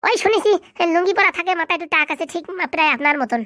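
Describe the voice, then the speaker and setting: Sly village sweet-talker for comedy cartoon, female performer: chatty, high voice, rattling pace. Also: rapid-fire, dry recording, studio quality, heavily acted. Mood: flattering. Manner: chatty, flattering, insistent. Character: sly flattering villager pleading for a loan. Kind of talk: amateur comedy cartoon dialogue.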